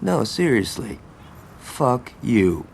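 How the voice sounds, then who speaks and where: A man's voice: mid-range voice, sing-song, takes it slowly, gravelly, a little mellow, slight background noise, exaggerated voice. An actor playing a brash, trigger-happy male gangster, blunt and sarcastic in his delivery. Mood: defiant.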